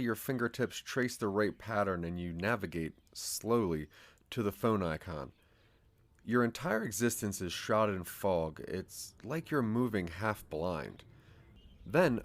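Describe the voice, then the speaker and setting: Low-voiced man narrating an audio drama.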